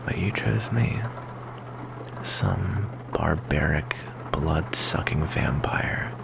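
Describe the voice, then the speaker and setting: Man as a low, whispering, melancholy vampire boyfriend. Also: exaggerated voice. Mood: weary, sad. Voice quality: whispery, gravelly. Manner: soft, hesitant, intimate, slow with pauses. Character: melancholy, insecure, devoted young male vampire boyfriend. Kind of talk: ASMR roleplay.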